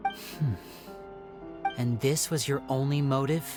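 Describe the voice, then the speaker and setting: A woman voicing a shrewd, composed young male stage magician in a video game, measured and probing. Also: a bass voice, fairly even intonation, over music. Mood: cool, probing.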